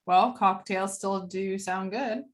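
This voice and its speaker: A woman's voice: medium pitch, steady tone, slow, close to an everyday voice. A woman playing a brash, bossy, hard-drinking tough in a horror script reading. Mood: flippant. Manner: sassy, bossy.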